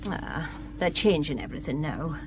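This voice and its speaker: An actress playing a garrulous, devout middle-aged Irish widow from the country in a radio drama, folksy and inquisitive. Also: female voice, sits low, big pitch swings, moderate pace, background noise. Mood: grumbling. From west of Ireland.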